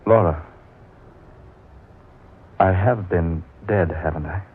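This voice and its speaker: An actor playing a bewildered husband returned from the grave in an old-time radio horror drama, with melodramatic delivery. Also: male voice, sits low, slow. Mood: haunted, realizing. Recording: tinny, noisy old radio recording.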